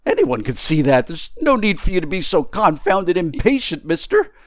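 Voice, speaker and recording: Man acting a storybook tramp, bewildered, indignant, blustering, coarse grammar, raised pitch, very animated. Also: normal speed, dry recording. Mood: exasperated. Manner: colloquial dropped grammar, blustering. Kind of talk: acted audiobook storytelling.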